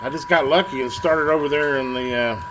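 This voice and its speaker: A man talks through bench repair work in a folksy, off-the-cuff way. His pitch sits in the middle for a man, and he thinks aloud as he goes. A loud hum and heavy noise run behind his voice. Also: a little fast. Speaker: radio repair technician and video host. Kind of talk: casual hands-on repair demonstration.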